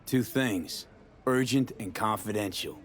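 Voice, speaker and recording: An actor playing a gruff, blunt, streetwise mercenary in a video game. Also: male voice, fairly low pitch, unhurried, natural voice. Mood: curt, threatening. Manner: blunt, terse. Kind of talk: video game dialogue acting.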